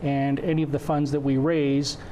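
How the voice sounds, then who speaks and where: A man's voice: medium pitch, level tone, moderate pace, warm tone, a little hiss or hum. A man in his forties from western Canada whose first language is Croatian, speaking as an event organizer in an informal TV interview. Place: indoor TV studio. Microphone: lapel mic.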